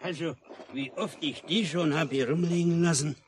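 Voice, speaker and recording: A man's voice: a little high, normal speed, lightly acted. An actor playing an easygoing, folksy village militiaman in a 1950s German radio drama. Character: easygoing village militiaman, genial pipe-tobacco lover. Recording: noisy old mono radio recording.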